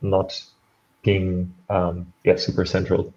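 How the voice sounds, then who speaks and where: A young German man speaks English with a faint Irish lilt, rambling along with lots of fillers. His voice sits a little low. The call audio is dull and lo-fi. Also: completely flat delivery, slow pace, a little mellow. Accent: German, with a slight Irish tinge. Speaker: meetup participant. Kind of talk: casual video-call chat. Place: bright room at home with shelves, indoors. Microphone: headphones, laptop on a video call.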